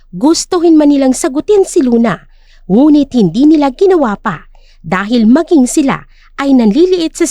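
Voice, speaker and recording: High-pitched woman narrating a drama. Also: darkish tone, no room sound, studio quality. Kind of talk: scripted dramatic story narration.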